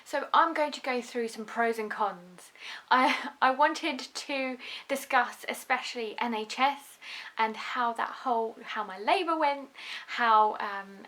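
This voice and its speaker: A young woman speaks to camera in a chatty, earnest way, with a faint Russian accent worn down by a London upbringing. Her voice sits a little on the high side. She fills her pauses with ums and restarts her sentences now and then. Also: fairly bright tone, rough recording. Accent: Russian, softened by a London upbringing. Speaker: vlogger, new mother. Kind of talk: casual talk to camera.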